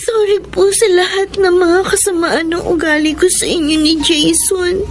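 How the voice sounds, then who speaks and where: A woman's voice: very high-pitched, steady tone, normal speed, faint music behind. A woman playing a young, proud but emotional daughter in a radio drama. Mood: remorseful, crying. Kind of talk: Tagalog radio drama acting.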